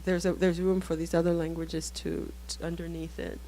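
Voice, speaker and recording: A woman with a low, level voice and Haitian Creole as her first language talks about writing on a discussion panel. She thinks aloud, breaking off and restarting her sentences as she searches for words. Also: level tone, warm tone, slight background noise. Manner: thoughtful, halting, many restarts. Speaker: author.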